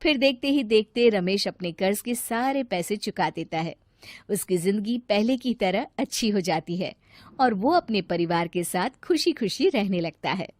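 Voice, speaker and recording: A woman's voice: medium pitch, lively intonation, normal speed, darkish tone, no room sound. A woman reading a scripted story narration for a cartoon.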